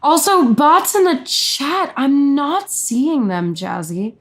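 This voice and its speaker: A young woman co-hosting a live game reaction stream, chatty and excitable, breaking off to answer the chat. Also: sits high, slow pace, soft background music. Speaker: co-host, musician.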